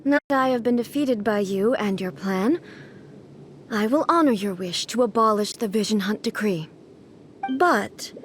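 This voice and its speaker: A woman playing a regal, solemn immortal ruler in a video game, speaking in a measured, formal way. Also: medium pitch, slight background noise, lightly acted. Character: solemn, regal immortal female ruler, stern yet softening. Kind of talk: video game cutscene acting.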